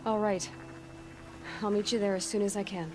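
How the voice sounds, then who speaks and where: An actress playing a tough, self-assured young huntress in a video game, speaking in a dry, sardonic way. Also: female voice, mid-range voice, little pitch movement, normal speed, darkish tone, faint music behind, close to an everyday voice. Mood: businesslike. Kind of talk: cinematic game dialogue.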